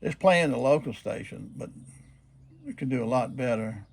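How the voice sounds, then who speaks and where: A man with a husky voice gives a casual, unscripted demonstration, explaining things in a plain-spoken, halting way. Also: mid-range voice, lively intonation. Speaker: presenter showing a restored old radio.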